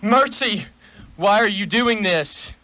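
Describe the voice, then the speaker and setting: A man's voice: high voice, lively intonation, slow, very noisy. A man playing a frightened, pleading miser in a radio play, theatrical and prone to stammering. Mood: terrified. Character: frightened, pleading miserly businessman facing a ghost.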